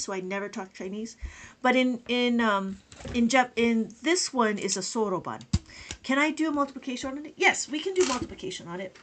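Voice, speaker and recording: A woman's voice: a little high, fairly bright tone. Chatty woman teaching a live math lesson. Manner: chatty, greets viewers by name, counts aloud. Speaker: abacus teacher.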